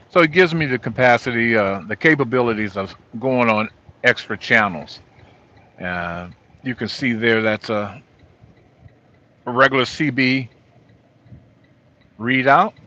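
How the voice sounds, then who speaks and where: A middle-aged or older man, a trucker, giving an informal, halting walkthrough of gear from inside his truck cab. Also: fairly low pitch, sharp tone. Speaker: truck driver vlogger. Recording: dull lo-fi audio.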